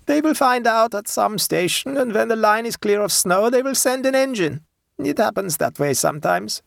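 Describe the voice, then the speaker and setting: A narrator voicing a stolid, shrewd peasant woman in a storybook, calm, devout and matter-of-fact. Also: medium pitch, creaky, no room sound, clean studio sound, pitched above the performer's own voice. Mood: placid. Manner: tranquil, unhurried, matter-of-fact.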